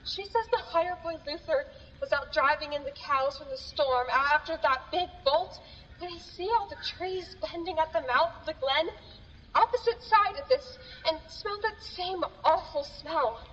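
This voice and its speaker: A woman's voice: high-pitched, normal speed, fairly bright tone. A woman playing an anxious rural villager in a horror radio drama, urgent and folksy, in a muffled, echoey recording. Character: anxious rural villager relaying frightening eyewitness news. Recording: muffled, echoey hall with faint noise.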